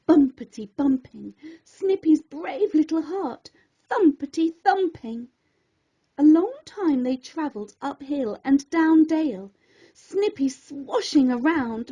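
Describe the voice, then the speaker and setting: A woman storyteller narrating a rhyming children's story in an expressive, theatrical and deliberate way. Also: high voice, muffled recording.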